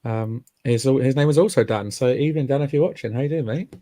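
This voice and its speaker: A man hosting a podcast in casual chat, raspy from a chest infection. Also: mid-range voice, fairly quick, mellow, no echo, studio quality. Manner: chatty, friendly, many ums. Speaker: podcast host. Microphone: remote call mic.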